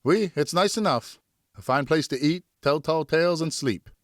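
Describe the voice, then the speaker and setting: A man's voice: a little high, animated, moderate pace, dry recording, studio quality, pitched above the performer's own voice, natural voice. Man acting a hearty, fond nobleman father. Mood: hearty, patient. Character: warm, indulgent father, French nobleman of the 1780s.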